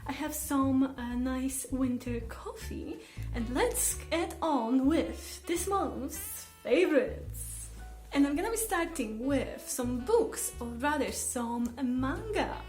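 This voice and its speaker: A woman's voice: high voice, expressive, slow, a little mellow. A woman vlogger chatting casually and enthusiastically to her audience, with emphatic phrasing. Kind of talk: casual chat to camera about favourites. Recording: roomy echo, faint music behind. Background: faint background music.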